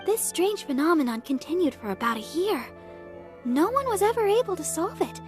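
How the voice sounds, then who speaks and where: A woman's voice: very high voice, very animated, moderate pace, soft background music. A woman playing a sweet, earnest young church member in a video game, polite, bubbly and helpful. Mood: thoughtful. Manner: polite, bubbly, thinks aloud. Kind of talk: video game character acting.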